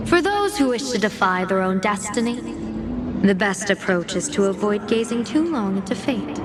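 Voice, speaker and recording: Game role: teasing, enigmatic woman general played by an actress, very lively intonation, loud music underneath. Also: mid-range voice, natural voice. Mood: coy, earnest. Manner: teasing, coy, expressive. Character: playful, mysterious woman general and fortune-teller. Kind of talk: scripted video game dialogue.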